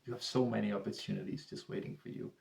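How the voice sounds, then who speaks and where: A man with a Danish accent, a tutor speaking English as a second language and pitching one-on-one lessons to camera in a rambling, salesy way full of restarts. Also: fairly even intonation, fast talker, creaky, a little mellow, reverberant. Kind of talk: persuasive talk to camera.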